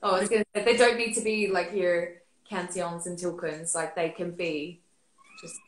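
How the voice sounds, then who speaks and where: A woman's voice: on the low side, fairly even intonation, normal speed, a little hiss or hum. Woman, 30s, from Australia, guest expert, casual video call, roomy webcam sound. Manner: chatty, many fillers and restarts. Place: living room at home. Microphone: laptop webcam on video call.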